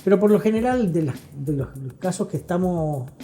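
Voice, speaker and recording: A man's voice: high voice, animated, normal speed, warm tone. An Argentine man explaining didactically as an interview guest, often checking in with short tag questions. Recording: faint music behind the voice. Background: music.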